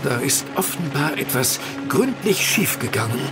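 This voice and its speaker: An actor playing a keen, restless gentleman detective in a German audio drama, expressive and precise. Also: on the high side, normal speed, over loud music. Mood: alarmed.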